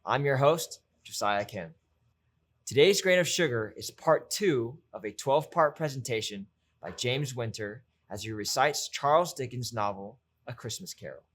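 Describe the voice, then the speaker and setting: A man's voice: mid-range voice, animated, moderate pace. A man hosting, reading a scripted introduction to a performance. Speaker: host.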